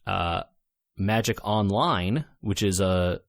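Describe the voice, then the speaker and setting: A man's voice: sits low, slow, vocal fry, no room sound, clean studio sound. A man co-hosting a casual gaming podcast, talking emphatically and with exasperation, repeating words for stress. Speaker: podcast co-host.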